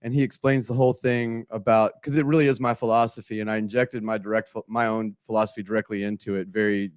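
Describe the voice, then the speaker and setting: A man's voice: lowish voice, little pitch movement, fast talker, smooth, dry recording, studio quality. A man speaking casually in an interview as the writer of a show.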